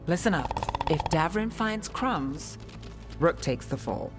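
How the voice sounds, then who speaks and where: A playful female adventurer in a video game, speaking in a low voice. She is teasing and mock-conspiratorial, with music clearly playing behind her. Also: expressive, slow. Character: playful female companion coaxing a pet griffin. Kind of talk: video game cutscene acting.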